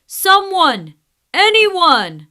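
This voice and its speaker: A woman puts on a grumpy, proud lion king for a children's storybook. He grumbles and booms with a forceful tone, swinging widely in pitch and drawing out his words. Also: wildly swooping pitch, extremely slow, heavily acted. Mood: desperate. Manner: grumbling, booming exclamations.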